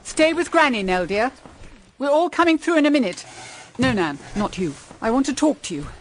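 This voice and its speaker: An actress in a radio drama playing a stern, anxious middle-aged English mother, measured and firm in manner. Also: sits high, very animated, a little hiss or hum. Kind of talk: BBC radio drama acting.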